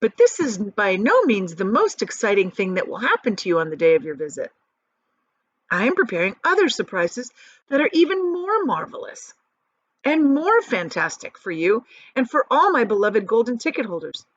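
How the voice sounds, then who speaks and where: A woman teacher reading a children's book aloud with expressive, softly varied storytelling. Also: mid-range voice, moderate pace, no echo. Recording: dry, dull lo-fi audio.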